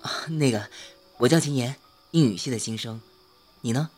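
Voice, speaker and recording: A man's voice: mid-range voice, moderate pace, a little mellow, natural voice. A young man played by a voice actor as a college freshman in an audio drama. He talks in a casual, teasing way, wry and a little touchy, with wistfulness underneath. Music plays behind the voice. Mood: sheepish. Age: young adult. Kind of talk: Chinese audio drama acting.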